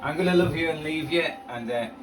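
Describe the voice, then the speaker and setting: A man's voice: fairly high pitch, fairly quick, background noise. Rambling man, distant from the mic. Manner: self-deprecating, muttering asides. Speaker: radio hobbyist presenter. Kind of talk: casual rambling vlog commentary. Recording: distant mic, radio playing behind.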